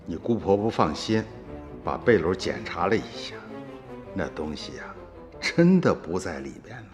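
An actor playing an older male storyteller recounting family events to a listener in a radio drama, unhurried and wry. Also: low-pitched, big pitch swings, soft background music. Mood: warm. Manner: slow, chuckling storytelling. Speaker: narrator.

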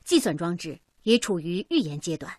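An actress playing a matter-of-fact engineer in a radio drama, reporting technical difficulties precisely. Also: female voice, lowish voice, big pitch swings, moderate pace, darkish tone, slightly rough, no echo, studio quality.

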